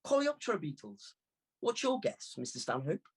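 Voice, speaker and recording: A man plays an impish young girl in a storybook reading. The voice is pitched high with a husky edge. She is bouncy, insistent and gloats freely as she teases. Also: wildly swooping pitch, moderate pace, heavily acted. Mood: gleeful challenge.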